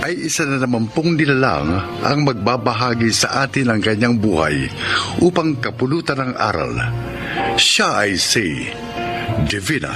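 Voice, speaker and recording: A man's voice: medium pitch, very animated, moderate pace, over music. A man with a Filipino accent delivering scripted dramatic radio narration as a program announcer.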